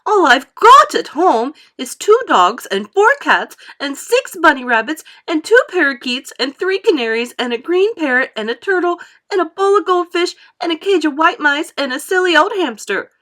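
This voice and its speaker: A woman's voice: sing-song, moderate pace, hardly any pauses, pushed and bright, full character voice. A woman voicing a spoiled, demanding rich little girl who shrieks for what she wants. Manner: shrill, demanding, bratty.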